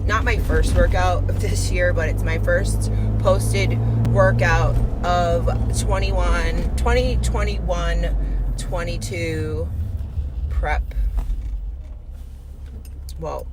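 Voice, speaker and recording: A woman with a cold and a froggy, hoarse voice, chatting casually as a fitness vlogger and rambling and correcting herself as she goes. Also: sits low, very noisy. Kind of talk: casual vlog chat.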